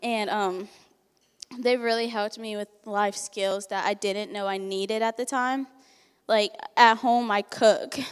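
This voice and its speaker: A woman's voice: mid-range voice, fairly even intonation, slow pace, clear, resonant tone, long reverb tail. A teenage girl, not a native English speaker, giving a candid personal speech at a banquet, laughing often and acting out what people said. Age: teens. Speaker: resident giving a testimonial.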